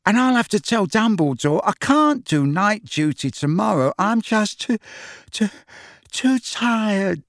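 A man plays an earnest young woman in a storybook, his voice lifted well above his own. She is friendly, caring and informal, with a lively lilt. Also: extremely high pitch, normal speed, hardly any pauses, husky, no echo, voice pushed up, heavily acted. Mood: exhausted.

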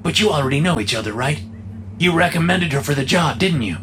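A man's voice: fairly high pitch, lively intonation, brisk, a bit bright, a little hiss or hum, pitched above the performer's own voice. A man voicing a brainwashed, eerily cheerful male store employee in a horror story, with pleasant leading questions. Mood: falsely pleasant. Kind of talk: audio horror story character.

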